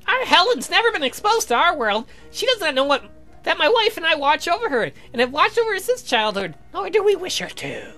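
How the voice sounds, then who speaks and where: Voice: extremely high pitch, big pitch swings, fairly quick, pushed and bright, faint music behind, voice pushed up, full character voice. A woman voicing a stern, protective old vampire sea captain with formal, imperious speech, for a visual novel game. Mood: formal, solemn.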